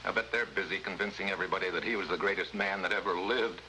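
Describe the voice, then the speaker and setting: A deep voiced american secret agent, dry wisecracks, old hissy 50s recording. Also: male voice, fairly even intonation, fast, pushed and bright. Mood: businesslike. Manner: wisecracking, sardonic, hard-boiled. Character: wry, tough male American special agent. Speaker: actor in the lead role. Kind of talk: 1950s American spy drama acting and narration. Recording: noisy vintage 1950s broadcast recording.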